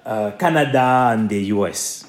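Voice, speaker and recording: A man's voice: lowish voice, measured pace, reverberant. A man from Cameroon, a guest professor on a podcast, speaking politely and measuredly with some hesitation. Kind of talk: podcast interview.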